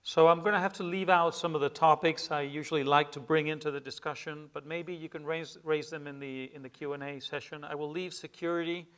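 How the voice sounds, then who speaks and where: A middle-aged man from Peru, a native Spanish speaker, giving a public lecture in a measured, hesitant way with many fillers and restarts. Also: on the high side, steady tone, a bit bright. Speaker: guest lecturer. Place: lecture hall with an audience.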